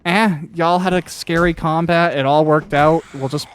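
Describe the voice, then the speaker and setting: A man's voice: a little high, steady tone, moderate pace, clean tone. A man hosting a casual interview, chatting in a rambling, anecdotal way and swearing freely. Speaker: podcast interviewer and author.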